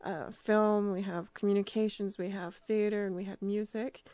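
A woman's voice: mid-range voice, level tone, moderate pace, dark tone, dry recording, lo-fi sound. A woman speaking as a radio drama producer and director, giving casual answers in an interview.

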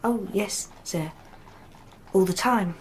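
A woman acts out a shy young servant girl in a radio play, speaking in a working-class English accent. She is deferential and hesitant, earnest and quick to apologise, with faint noise behind her. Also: medium pitch, natural voice. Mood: warming, eager. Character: timid, earnest young housemaid, soft-hearted and deferential. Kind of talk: radio drama acting.

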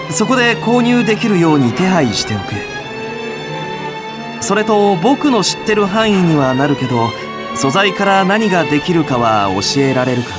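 A man voicing an earnest, caring young alchemist in a Japanese video game, friendly and patient when explaining. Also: a little high.

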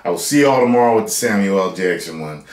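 An American man in his thirties giving casual, playful reaction commentary as a video host, loud and repeating his phrases. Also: low-pitched, lively intonation, fast talker. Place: small room at home, indoors. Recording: roomy, echoey home recording.

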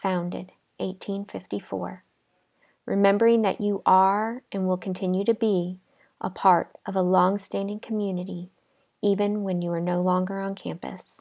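Calm lady doing guided relaxation, gentle n unhurried, bit of vocal fry, mid pitch. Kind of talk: guided imagery meditation narration.

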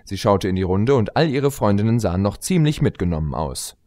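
A man's voice: on the low side, sing-song, brisk, a little mellow. A man reading scripted story narration expressively, as an audiobook narrator.

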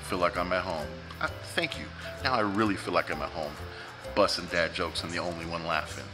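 A man's voice: sits low, fairly quick, music in the background. A man, reviewing food in a casual, joking vlog while eating at a restaurant. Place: restaurant dining room, indoors.

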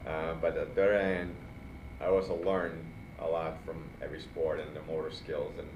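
A deep-voiced man with a Finland Swedish accent explains things in a measured, thoughtful way. He sounds like he is recorded across a roomy training studio, with the mic at a distance and a noisy floor of sound. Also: flat delivery. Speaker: guest cycling coach. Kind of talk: casual podcast interview. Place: training studio, seated in recovery chairs.

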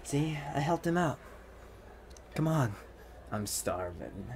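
A man playing a rich, possessive, doting boyfriend in a soft-spoken, coaxing ASMR roleplay. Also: a little high, big pitch swings, slight background noise, exaggerated voice. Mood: breezy, generous. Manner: soft-spoken, unhurried, coaxing with pet names. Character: rich possessive young boyfriend, smooth, doting, quietly menacing.

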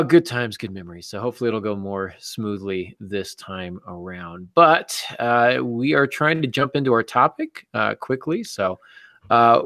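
A man in his forties hosting a casual chat show over a video call, with a wry, deadpan way of talking. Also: mid-range voice, no room sound, studio quality. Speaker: show host. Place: small cluttered office, on a video call.

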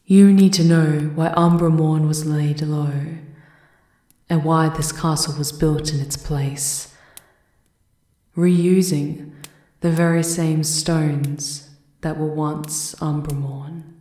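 Woman acting a low, unhurried, shrewd enchantress. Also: fairly even intonation, warm tone, no echo. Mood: grave, confiding. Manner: measured, knowing, formal. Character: shrewd, composed female High Enchantress advising a vampire countess. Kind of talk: ASMR roleplay acting.

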